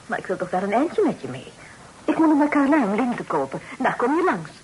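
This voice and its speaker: An actress playing a playful, forthright young sister from a polite period family in a Dutch radio play. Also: female voice, on the high side, big pitch swings, a little fast, darkish tone. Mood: chatty. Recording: noisy old radio play recording.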